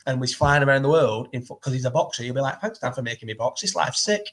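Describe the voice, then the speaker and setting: A man, chatting casually as host, blunt and full of swearing, firing off quick questions. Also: mid-range voice, lively intonation, fairly bright tone. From England. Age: 30s. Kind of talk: casual chat.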